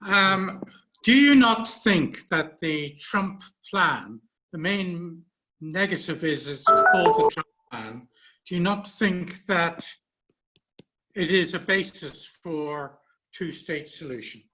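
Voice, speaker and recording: An audience member asks a pointed question over a video call. The delivery is insistent and pressing. The voice sits at a middle pitch. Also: expressive, takes it slowly, bright, forceful tone, rough recording. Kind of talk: online question.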